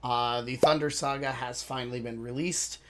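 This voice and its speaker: A man with a hoarse, worn voice chats loosely to his viewers at a middle pitch. He rambles, says sorry and loses his thread now and then, in the easy tone of a reaction channel host. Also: steady tone, normal speed, fairly bright tone. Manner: rambling, apologetic, loses his train of thought. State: hoarse from a lost voice. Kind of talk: casual chat to viewers.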